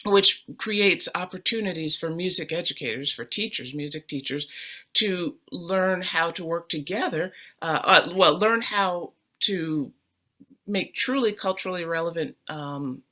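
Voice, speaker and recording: A woman's voice: lowish voice, sharp tone. Earnest middle-aged woman string player. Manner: earnest and emphatic, repeats words for weight. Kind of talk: personal storytelling on a panel.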